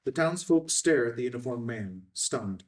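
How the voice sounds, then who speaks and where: A man's voice: mid-range voice, expressive, normal speed, distant mic. A man reading scene headings and stage directions in a clipped, scripted narration at a table read.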